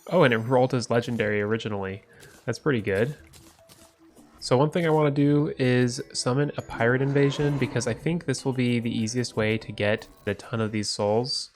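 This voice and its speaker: Stuffy-nosed male gaming host, cheerful let's play commentary, mid pitch, faint music bed. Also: fairly quick. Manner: upbeat, excited at loot. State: congested from a cold. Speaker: gaming YouTuber.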